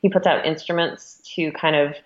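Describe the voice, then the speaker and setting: A woman with a fairly low voice chats casually in an interview. She speaks informally and works out her thoughts as she talks. The recording is a little dull and lo-fi. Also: steady tone, moderate pace. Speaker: magazine editor being interviewed.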